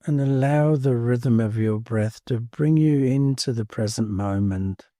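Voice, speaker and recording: A man leading a guided meditation in a calm, soothing manner, leaving space between short phrases. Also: medium pitch, measured pace, no echo, clean studio sound. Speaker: meditation teacher. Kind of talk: guided body scan meditation.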